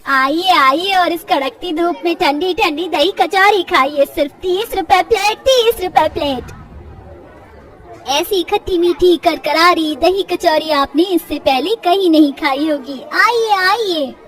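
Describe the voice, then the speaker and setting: Very high-voiced earnest woman, acted. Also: a little slow, fairly bright tone, far from the mic. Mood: eager hawking. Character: worried, hardworking woman of a poor family.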